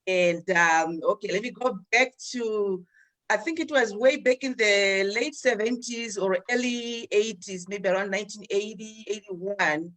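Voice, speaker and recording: Older woman from Zimbabwe, guest on a video call, measured storytelling. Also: medium pitch, fairly bright tone, poor audio. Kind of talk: personal storytelling.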